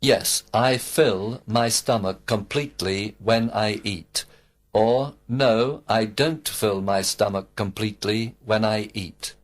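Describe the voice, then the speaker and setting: Slow male model student. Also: lowish voice. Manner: slow, complete textbook sentences. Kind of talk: scripted full-sentence answers.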